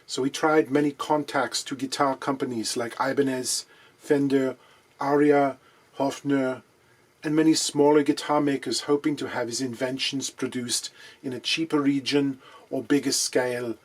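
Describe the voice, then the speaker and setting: A man putting on a Swiss accent to voice a mild Swiss man reading a memorial in a measured, solemn way. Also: mid-range voice, steady tone, close to an everyday voice, rough recording. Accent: Swiss put-on accent.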